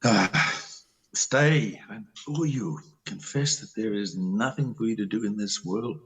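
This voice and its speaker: A man playing a disillusioned, lovelorn country doctor in an amateur play reading, with a husky voice and a theatrical, halting delivery. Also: fairly low pitch, fairly bright tone, distant mic, exaggerated voice, poor audio. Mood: pleading, intense.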